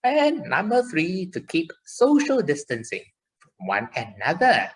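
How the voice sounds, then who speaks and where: Woman as a slow, lively, caring child puppet. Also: fairly low pitch. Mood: earnest, reassuring. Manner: slow, exclamatory, encouraging, simple explanations. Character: chirpy, caring child puppet character for preschoolers. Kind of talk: scripted children's puppet show.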